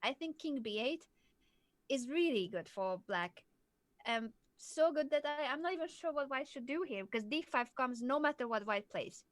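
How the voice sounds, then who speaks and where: A woman's voice: high voice, animated, moderate pace, no echo. A woman from southern Hungary, patiently explaining chess moves as a coach on a live stream. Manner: clear, patient explaining, laughs easily.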